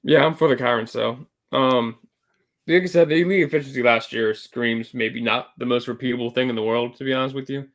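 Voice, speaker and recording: A man co-hosting a casual sports podcast, talking loosely with fillers and self-corrections, a little hoarse from losing his voice. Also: mid-range voice, fairly even intonation, brisk. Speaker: podcast co-host.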